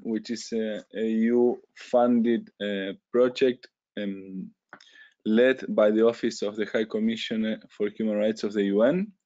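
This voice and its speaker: A man's voice: lowish voice, mellow. Hesitant man presenting on a call. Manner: careful, with hesitations and self-corrections. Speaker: webinar speaker. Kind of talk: formal presentation. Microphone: video call. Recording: dull lo-fi call audio.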